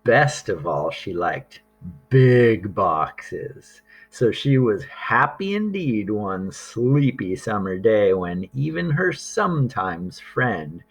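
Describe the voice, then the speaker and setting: Guy reading a kids picture book out loud, real slow, bit raspy, stretches words out for effect. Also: lowish voice. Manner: deliberate, with drawn-out words and dramatic pauses. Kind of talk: expressive read-aloud for young children.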